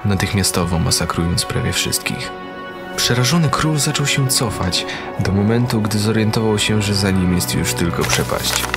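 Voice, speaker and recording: A man delivers dramatic fantasy narration as a storyteller. His voice is low. Loud music runs behind the scripted read.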